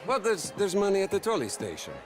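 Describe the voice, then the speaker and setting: An actor playing a smug, volatile Italian crime boss in a video game, scornful and theatrical, with an Italian accent. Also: male voice, high voice, wildly swooping pitch, quick pace, music in the background. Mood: expansive, sly. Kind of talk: video game cinematic acting.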